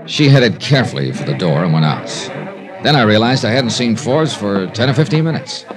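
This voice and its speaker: An actor playing a wry, hard-boiled insurance investigator with a dry delivery in a 1950s radio crime drama. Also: male voice, fairly low pitch, animated, brisk, lightly acted. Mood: dry, tense. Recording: old 1950s radio recording with music.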